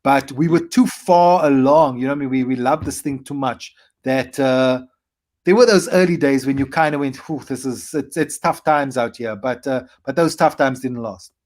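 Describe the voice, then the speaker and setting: A South African man in his forties, a comedian telling his story in a casual interview and acting out his past self in quick asides. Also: medium pitch, a little mellow. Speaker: comedian guest.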